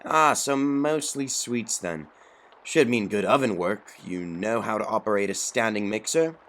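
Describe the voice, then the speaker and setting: A man playing a brisk, teasing and bossy kitchen supervisor in an audio drama, with a theatrical manner. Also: on the low side, fairly bright tone. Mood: teasing, probing. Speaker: voice actor.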